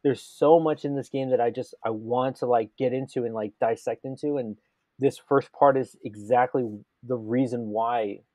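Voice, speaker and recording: Guy cohosting a gaming podcast, mellow warm voice, super dry sound, rambles and restarts a lot. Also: mid-range voice, fairly even intonation, normal speed, no echo. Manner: enthusiastic, rambling with many restarts. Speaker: podcast co-host. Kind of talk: casual gaming podcast chat.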